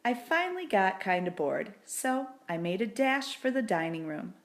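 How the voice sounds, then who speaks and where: A woman reading a children's book aloud in a deliberate, expressive storybook narration. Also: mid-range voice.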